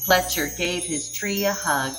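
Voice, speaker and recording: A woman reading a children's picture book aloud in a gentle, expressive way. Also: fairly low pitch, measured pace, music in the background, low-quality recording. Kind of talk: storybook read-aloud.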